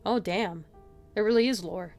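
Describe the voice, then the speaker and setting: A woman's voice: mid-range voice, moderate pace, darkish tone, faint music behind. A woman livestreaming a visual novel read-aloud, giggling and reacting aloud in casual asides. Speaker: streamer.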